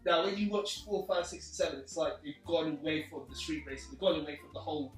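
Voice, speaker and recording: Higher-voiced man in an echoey room. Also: fairly even intonation, quick pace, recorded from a distance. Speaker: panelist on a film review. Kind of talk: casual chat, explaining opinion. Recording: echoey room, off mic, music behind.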